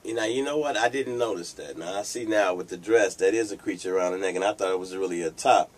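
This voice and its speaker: A man's voice: low voice, quick pace. A man hosting an interview, talking in an encouraging, conversational way and often saying "you know". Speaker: host. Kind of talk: interview host chat.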